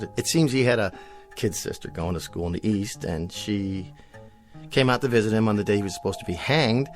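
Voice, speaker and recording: An actor playing a timid TV Western star in an audio drama, speaking with an American accent in a hesitant, placating way. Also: male voice, on the low side. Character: timid, evasive actor who plays a TV Western marshal. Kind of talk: comic radio drama acting. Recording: music under the dialogue.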